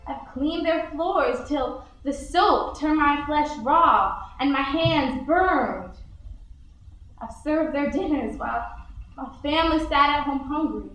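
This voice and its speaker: A young teenage girl performing a stage monologue as a grieving, embittered Southern widow in prayer, deliberate and impassioned. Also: high-pitched, fairly bright tone, voice pushed up. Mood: weary, bitter. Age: early teens. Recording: very echoey hall performance.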